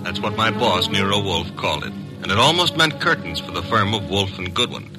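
An actor playing a breezy, slangy detective's sidekick who also narrates, in an old radio drama. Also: male voice, fairly low pitch, animated, fairly quick, over music, close to an everyday voice. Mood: wry narrating. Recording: vintage radio broadcast.

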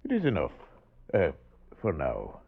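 An actor playing a wary, mercenary hired killer in a radio drama, speaking in a halting, probing, drawn-out way. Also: male voice, a bass voice, wildly swooping pitch, creaky, heavily acted. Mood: wary, greedy. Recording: noisy, dull lo-fi radio recording.

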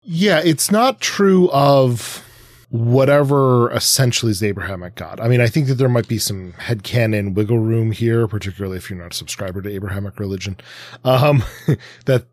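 A man co-hosting a podcast in casual discussion, speaking haltingly and qualifying his points as he goes. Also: mid-range voice, a little mellow, dry recording, clean studio sound. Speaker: podcast co-host.

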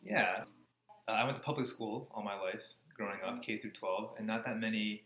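A man's voice: fairly low pitch, normal speed, sharp tone. An American man in his thirties, answering interview questions in a casual way. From Florida, USA. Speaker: interview guest, cardiology fellow. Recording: echoey room, faint background noise.

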